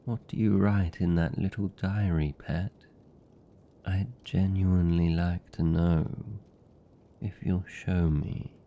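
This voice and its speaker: A man's voice: sits low, steady tone, mellow, dull audio. A British man playing a seductive, possessive vampire captor in an intimate fantasy ASMR roleplay, teasing and condescending in manner. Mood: coaxing, teasing. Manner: slow, teasing, condescending, velvety menace.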